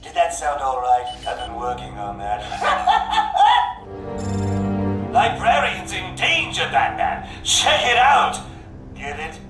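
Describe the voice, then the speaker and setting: Gleefully cruel game supervillain clown, high voice, slow theatrical taunts, music behind. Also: male voice, big pitch swings. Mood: manic glee. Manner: theatrical, taunting, prone to cackling. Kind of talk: video game villain acting.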